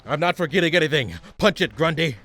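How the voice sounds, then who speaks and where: A man's voice: fairly high pitch, animated, fast, vocal fry, a bit bright, faint music behind. A man playing a grandiose, irritable supervillain leader who schemes and snaps orders in a comedic radio play. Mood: barking orders. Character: bossy, grumpy supervillain leader, scheming and easily exasperated.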